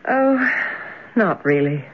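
A woman's voice: medium pitch, sing-song, slow. An actress playing a troubled, inquisitive young woman in an old-time radio drama, hesitant and confiding. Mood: uneasy, curious. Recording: dull, band-limited old radio recording.

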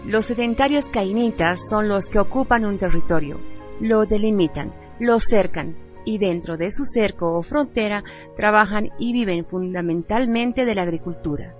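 A woman's voice: medium pitch, normal speed. A woman reading a scripted radio narration in a solemn style. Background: background music.

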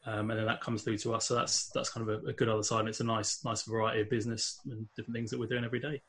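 A man's voice: lowish voice, level tone, racing, a bit bright. A man with a London accent, a guest on a podcast, talking casually with many fillers and restarts. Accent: London English. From England. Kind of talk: casual interview talk.

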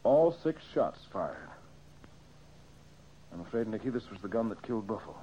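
An actor playing an earnest, urgent amateur detective in an old radio mystery, speaking with pressing concern. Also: male voice, on the low side, lively intonation, normal speed, mellow, lightly acted. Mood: grave, concerned. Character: earnest, urgent male amateur detective protecting his friend. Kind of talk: 1940s radio mystery acting. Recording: muffled old radio, faint noise.

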